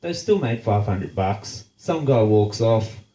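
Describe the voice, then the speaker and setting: Australian man, stuffy nasal voice, mellow and low, echoey room. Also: animated, takes it slowly. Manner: blunt, sarcastic, swears freely. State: congested, nasal from a blocked nose. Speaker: show host. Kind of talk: casual profane rant.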